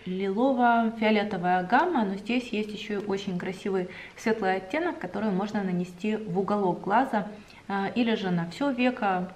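A young woman chatting to the camera at home, enthusiastic and talkative, with drawn-out hesitations. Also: medium pitch, darkish tone. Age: young adult. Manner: chatty, enthusiastic about cosmetics, drawn-out fillers. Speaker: beauty vlogger. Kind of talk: casual chat to camera. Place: home room indoors.

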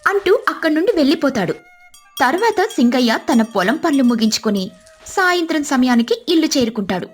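A woman's voice: high voice, sing-song, brisk, faint music behind. A woman storyteller reading scripted narration of a moral tale.

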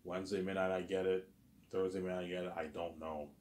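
A man's voice: sits low, flat delivery, fast talker, a little mellow, reverberant. A man in his thirties rambling casually in a monologue to his viewers, repeating himself and stumbling over words. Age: 30s. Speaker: vlogger.

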